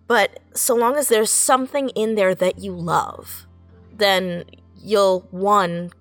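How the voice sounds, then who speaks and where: A woman's voice: medium pitch, slow, dry recording. Woman on a writers panel, earnest n encouraging, repeats stuff for emphasis, bit of music behind. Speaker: audio fiction writer on a panel. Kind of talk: reflective panel conversation.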